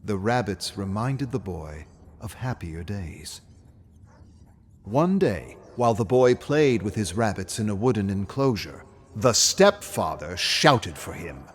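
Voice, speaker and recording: A man narrating a scripted fairy tale as a storyteller, with a dramatic, measured delivery. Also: on the low side, animated, a little hiss or hum.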